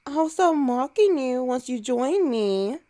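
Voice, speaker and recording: A woman acting as a tsundere alpha she-wolf in a romance audio roleplay. Her voice is high and soft-edged, mellow in tone, and she takes her time. She is bossy and teasing on top, easily flustered underneath. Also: lively intonation, slow pace. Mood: playful, teasing.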